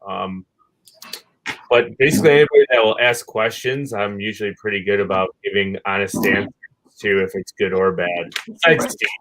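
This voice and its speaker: A man's voice: on the low side, steady tone, normal speed, a bit bright, low-quality recording. A man in casual podcast conversation, informal and given to teasing.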